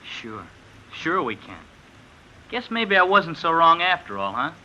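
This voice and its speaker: An actor playing a hurt, jealous young boyfriend in a 1950s radio drama, halting and sullen. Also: male voice, on the high side, sing-song, sharp tone, dull audio, full character voice. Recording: noisy vintage radio recording.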